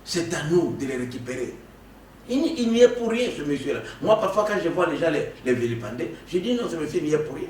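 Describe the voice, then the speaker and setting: A man from the Congo giving an animated political interview, emphatic and prone to stammering restarts. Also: on the high side, fairly quick. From Democratic Republic of the Congo. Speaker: political guest. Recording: echoey room, distant mic, faint noise.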